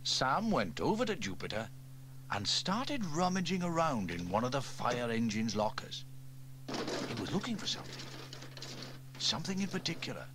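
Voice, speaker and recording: A man narrating a children's audio story in an expressive, animated read-aloud manner. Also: medium pitch, moderate pace, long pauses, background noise.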